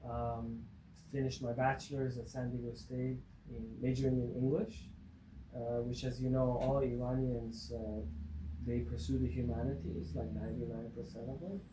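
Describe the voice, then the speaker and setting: A man of forty from Iran speaks as an academic guest, wry and joking, with halting fillers. His voice sits in a mid register in an echoey room, with faint ambient hiss behind him. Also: medium pitch, flat delivery. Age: 40s. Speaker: guest, professor. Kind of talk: interview conversation.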